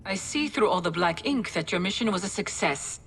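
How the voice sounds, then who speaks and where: An actress playing a dry, wry female military adviser with a husky voice, briefing in a matter-of-fact way in a game cutscene. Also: medium pitch, animated, a little fast, fairly bright tone, a little hiss or hum, natural voice. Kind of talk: video game cutscene acting.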